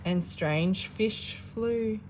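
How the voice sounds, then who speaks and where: A woman reading a children's storybook aloud in an animated, sing-song way with dramatic pauses. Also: mid-range voice, slight background noise, rough recording. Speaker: parent reading a bedtime story.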